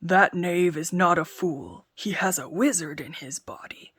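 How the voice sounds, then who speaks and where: A woman voicing a huge, dim-witted male giant who growls slowly and loudly. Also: breathy edge, dry recording, studio quality. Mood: wary. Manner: slow booming growl.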